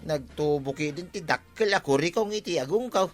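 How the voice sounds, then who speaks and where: Reluctant, proud village grumbler, male actor, Ilocano accent, mid-pitched with swooping intonation, music underneath. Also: expressive, moderate pace, close to an everyday voice. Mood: grumbling. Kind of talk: comedy radio drama acting.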